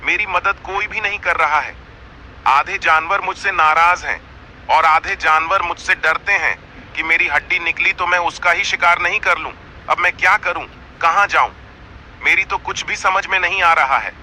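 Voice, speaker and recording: A man's voice: high-pitched, normal speed, pushed and bright, slight background noise, rough recording. A man voicing a proud lion king of the jungle, by turns imploring and imperious, in a cartoon fable. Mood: despairing.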